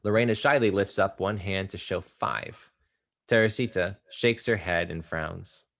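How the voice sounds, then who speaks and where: Deep-voiced man reading stage directions. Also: gravelly. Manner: steady, measured reading. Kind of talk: play table read narration.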